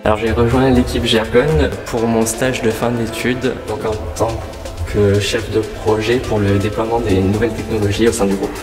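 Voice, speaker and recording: Flat-toned young man, hesitant. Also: lowish voice. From Fréjus, southern France. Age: 20s. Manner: hesitant, many filler pauses. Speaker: company employee giving testimony. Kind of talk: casual interview testimony. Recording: roomy, distant mic, background music.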